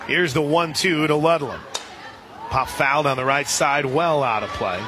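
A man calling live softball play-by-play on the radio, with sponsor reads mixed in. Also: fairly high pitch, measured pace. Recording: crowd noise and music behind commentary. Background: stadium crowd and music.

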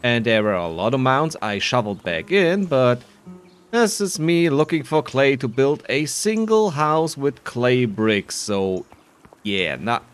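Slow-paced, animated man doing game commentary. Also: mid-range voice. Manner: playful, self-talking, drawn-out musing. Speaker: video game player and narrator. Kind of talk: casual playthrough commentary.

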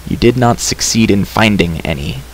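A man's voice: lowish voice, expressive, normal speed, natural voice, poor audio. A man playing an earnest, impatient young hero in a storybook, speaking bluntly and with command. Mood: angry, scornful. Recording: clear background hiss.

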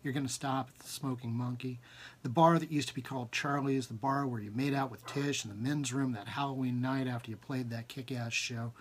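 A man in his forties with a husky voice reads his own fiction aloud informally and expressively, with emphatic rhythm and dramatic pauses, at a desk in a room at home. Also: mid-range voice, steady tone, a little hiss or hum. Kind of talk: expressive literary reading, informal. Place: small book-lined room at home, indoors.

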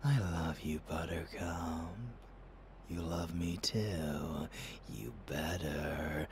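A man playing a dark, obsessive vampire lover in an ASMR audio roleplay, with a theatrical, seductive delivery and murmurs. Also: deep voice, slight background noise. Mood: adoring, possessive.

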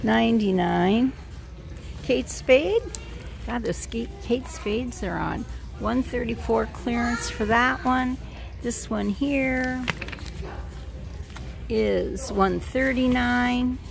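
A woman with a gravelly, unhurried voice narrates a shopping trip, reading prices aloud as she browses a retail outlet. She sounds casual and thinking out loud. Clear music plays through the store behind her. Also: a little high. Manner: slow, musing price read-outs while browsing. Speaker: shopping vlogger. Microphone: handheld phone camera.

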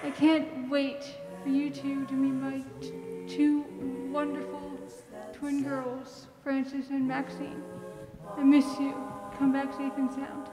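A woman's voice: high-pitched, monotone, slow pace. A teenage girl playing a devoted young 1940s wife and mother in a radio play. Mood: tender. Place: theater stage. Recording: echoey hall with music behind.

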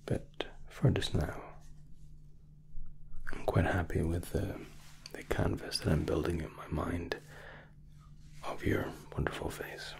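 A man in his thirties doing a soft ASMR roleplay, hushed, polite and hesitant, close to the microphone. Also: deep voice, level tone, slow, vocal fry. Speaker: ASMR roleplay performer, playing a royal sculptor.

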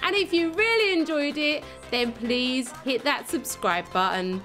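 A young woman with a hoarse, worn-out voice, chatting casually to viewers while playing a building game. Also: sits high, expressive, unhurried, a bit bright, faint music behind. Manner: chatty, giggly, talks to herself and the viewers. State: hoarse, losing her voice. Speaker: game channel host. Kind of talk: casual Minecraft let's play commentary.

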